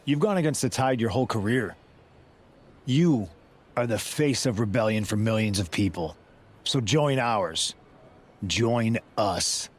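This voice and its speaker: A man's voice: on the low side, expressive, unhurried, pauses often, breathy edge. A man playing a persuasive rebel wrestler in a video game cutscene, earnest and knowing as he presses his case. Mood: persuasive, intense. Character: persuasive male rebel wrestler leading a mutiny.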